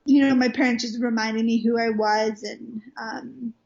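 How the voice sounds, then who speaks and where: A woman's voice: fairly high pitch, fairly even intonation, measured pace, creaky, a little mellow. A young woman from Wales with an American-sounding accent, telling her story as a guest in a casual interview, full of fillers and restarts. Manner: chatty, many fillers and restarts. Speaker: guest minister. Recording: muffled lo-fi recording.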